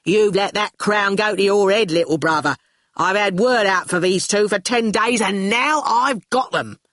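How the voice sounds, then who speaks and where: A woman's voice: medium pitch, moderate pace, fairly bright tone, no room sound, clean studio sound, natural voice. Woman acting a brash, bellowing female gang boss. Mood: scornful. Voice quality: growling, bellowing. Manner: colloquial, brash, barking orders. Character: loud, bossy, hot-tempered woman gang boss.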